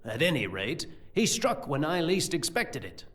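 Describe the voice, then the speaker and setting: A learned, scheming sorcerer-priest played by a male actor in a fantasy audio drama. His voice sits fairly high and swings widely in pitch as he explains things formally and deliberately. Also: sing-song, fairly bright tone. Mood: grave, explanatory.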